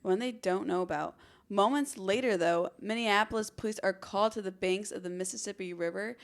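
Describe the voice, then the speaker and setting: A woman with a mid-pitched voice speaks as a podcast co-host. She moves casually between storytelling and chatty, informal commentary. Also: fairly quick. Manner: informal, chatty, acts out imagined remarks. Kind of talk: casual conversational true-crime storytelling.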